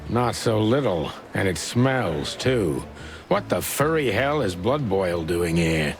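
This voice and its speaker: A man's voice: on the low side, sing-song, slow pace, full character voice. An actor playing a world-weary male rooster detective in a video game, with a dry, sardonic way of talking. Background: game music.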